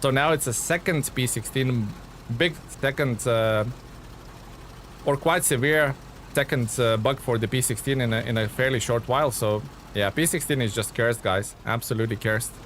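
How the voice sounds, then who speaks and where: A man from Lithuania, a game streamer chatting casually with viewers in a relaxed, rambling way. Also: medium pitch, normal speed, slight background noise. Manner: relaxed, chatty, many fillers and restarts. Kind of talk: casual live-stream chat reading viewer comments.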